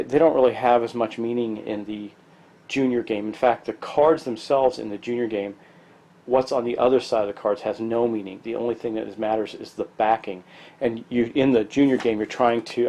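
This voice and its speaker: A man's voice: medium pitch, dark tone. A middle-aged man, stuffy from allergies, casually walking through a board game with plenty of ums and restarts. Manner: rambling, many ums and restarts. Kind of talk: casual tabletop game walkthrough.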